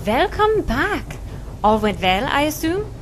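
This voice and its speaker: An actress playing a composed, capable team technician in an audio drama. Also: female voice, high voice, very animated, slow pace, slight background noise, full character voice.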